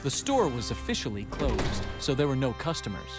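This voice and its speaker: A man narrating a scripted children's read-along story in an expressive, animated storytelling manner. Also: mid-range voice, moderate pace. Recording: music behind the narration.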